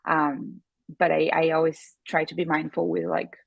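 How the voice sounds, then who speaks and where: A woman's voice: low voice, flat delivery, normal speed, gravelly, dry recording, muffled recording. A woman from Brazil whose first language is Portuguese, speaking with a Brazilian Portuguese accent as an earnest podcast guest who sometimes searches for words. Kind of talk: casual interview chat.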